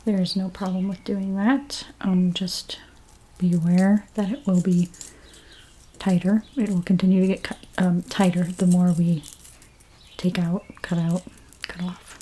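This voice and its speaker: A woman doing a soft-spoken ASMR role play as a dressmaker, gentle and unhurried, her breathy voice often slipping into a whisper. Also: fairly low pitch, little pitch movement. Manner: gentle, unhurried, reassuring explanations to a client. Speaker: ASMR creator role-playing a dressmaker at a fitting.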